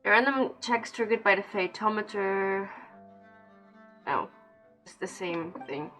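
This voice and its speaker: A woman's voice: mid-range voice, unhurried, a bit bright. A woman doing casual, informal gameplay commentary on a livestream, with a self-deprecating streak. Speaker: game streamer. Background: game dialogue and music.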